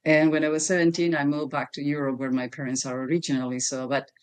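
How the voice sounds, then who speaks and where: A woman from Argentina with an Argentine Spanish accent, speaking English as a guest expert on a video call, lively and quick to laugh. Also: low-pitched, smooth. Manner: lively, laughs easily, non-native grammar. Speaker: human behavior expert guest. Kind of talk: conversational interview.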